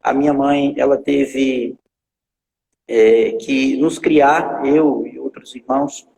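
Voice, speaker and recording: A man in his forties telling his life story as a guest pastor in a live stream, speaking haltingly with many filler pauses and repeated words. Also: medium pitch, lively intonation, vocal fry, a little mellow, big-room sound, rough recording. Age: 40s.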